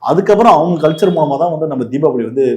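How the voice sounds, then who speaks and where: A man's voice: fairly high pitch, lively intonation, quick pace, lo-fi sound, crowd noise. A man with a Tamil Nadu accent giving casual, opinionated political commentary as a host, explaining in an argumentative way.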